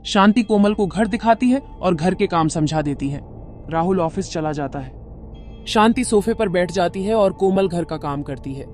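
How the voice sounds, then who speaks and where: A narrator telling a cartoon horror story in clear, even storybook narration. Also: mid-range voice, normal speed. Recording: loud background music.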